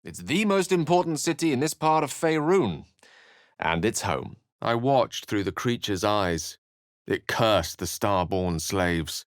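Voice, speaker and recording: A man's voice: lowish voice, expressive, unhurried, dry recording, clean studio sound. An actor playing a learned, wry male human wizard in a fantasy video game, speaking in an articulate, theatrical and bookish way. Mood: wry, assured, then grave, reflective. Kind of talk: fantasy game character lines and narration.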